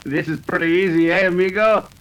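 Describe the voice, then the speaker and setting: A man's voice: high-pitched, a bit bright, rough recording. A man playing a sly, murderous bandit leader with a put-on Mexican accent in an old-time radio western. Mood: menacing, amused.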